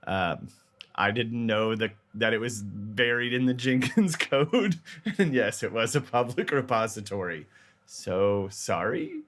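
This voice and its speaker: Man, podcast host, middle pitch, casual, rambling, self-deprecating humor. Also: expressive, measured pace, fairly bright tone. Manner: rambling, repeats words, jokey and self-deprecating.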